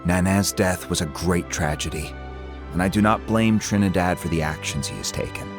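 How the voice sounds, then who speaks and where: A man acts a solemn, tradition-bound older chief from a fantasy game. His voice is low and measured, with formal, dignified phrasing, over clearly audible music. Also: lightly acted. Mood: solemn, resolute. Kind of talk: video game character acting.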